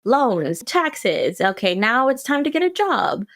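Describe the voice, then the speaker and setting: A young woman from South Sudan, talking casually as a guest, quick and full of restarts. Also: on the high side, very animated, fairly bright tone. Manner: quick, with frequent restarts and laughs. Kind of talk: casual interview answer.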